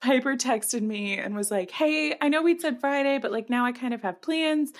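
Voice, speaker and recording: A woman's voice: high voice, normal speed. A woman co-hosting a podcast in casual chat, with a jokey, self-deprecating way of talking. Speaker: podcast co-host.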